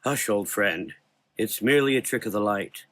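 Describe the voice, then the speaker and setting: A man's voice: lowish voice, normal speed, a bit bright, natural voice. A man playing a mysterious gothic figure with a gift for minds, speaking in a soothing, coaxing, hypnotic way in an audio drama.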